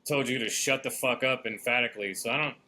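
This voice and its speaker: Comedy podcast co-host, male, teasing and rambling, bright tone. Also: medium pitch, fairly even intonation, fast talker. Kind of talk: crude joking banter.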